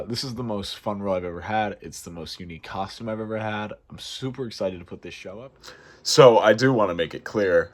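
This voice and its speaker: A young American man in his early twenties with a deep speaking voice, talking casually to camera as a vlogger. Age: early 20s. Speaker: vlogger and amateur musical theater performer.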